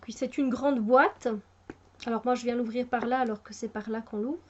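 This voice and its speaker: A woman with a fairly high voice talks through a tarot deck in an easy, unscripted way. She hesitates often, restarting phrases and filling gaps, but sounds keen on the subject. The recording is muffled and lo-fi. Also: steady tone, moderate pace, darkish tone, slight background noise. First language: French. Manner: hesitant, many fillers and restarts, enthusiastic. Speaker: tarot reviewer. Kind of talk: casual spoken product review.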